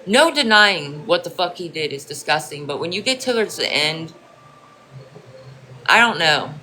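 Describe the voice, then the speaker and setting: Streamer from Ohio, bright sharp tone, rambling and repetitive, swears freely, casual chat with viewers. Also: medium pitch, big pitch swings, a little slow, slight background noise. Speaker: livestreamer answering chat.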